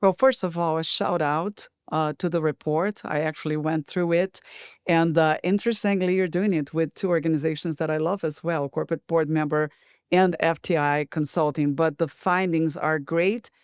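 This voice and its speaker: Brazilian-accented woman, smooth and measured. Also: deep voice, fairly even intonation, dry recording, clean studio sound. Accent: Brazilian Portuguese. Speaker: guest, corporate board director. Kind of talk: podcast interview.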